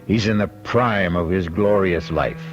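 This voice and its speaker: A man hosting an old-time radio drama with deliberate, evocative scripted narration. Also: sits low. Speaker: host and narrator. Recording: dull vintage radio recording. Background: prominent music.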